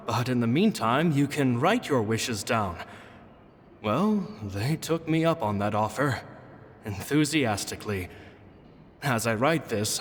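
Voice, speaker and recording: A man voicing a kindly, modest stage magician in a video game, narrating his journal in a gentle, reflective way. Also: medium pitch, a little hiss or hum, close to an everyday voice. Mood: amused, fond. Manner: gentle, storytelling. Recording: long reverb, music behind.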